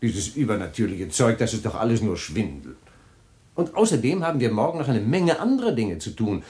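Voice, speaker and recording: A man's voice: lowish voice, big pitch swings, fairly quick, a little mellow. An actor in a German radio drama playing a wealthy, evasive husband who soothes and dismisses his wife. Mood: firm. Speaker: husband James.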